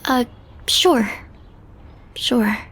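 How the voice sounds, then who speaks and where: A woman playing a guarded but caring alien woman in an audio roleplay drama, speaking in a halting, tentative way. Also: on the high side, fairly bright tone, breathy edge, heavily acted. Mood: hesitant, guarded.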